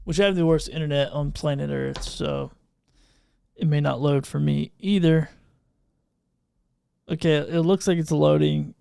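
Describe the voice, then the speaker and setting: A man hosting a livestream podcast, chatting informally and unscripted, thinking out loud as he troubleshoots. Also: fairly high pitch, fairly even intonation, a little slow, creaky, long pauses, clean tone, dry recording. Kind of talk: casual unscripted livestream chat. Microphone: podcast microphone through audio mixer.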